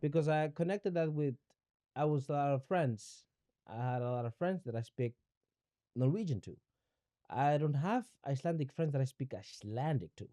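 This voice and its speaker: A man's voice: fairly high pitch, animated, normal speed, warm tone, no room sound. A young man from Ecuador whose first language is Spanish, talking as a podcast guest in a reflective, searching way, often restarting and repeating words. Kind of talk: reflective conversational interview.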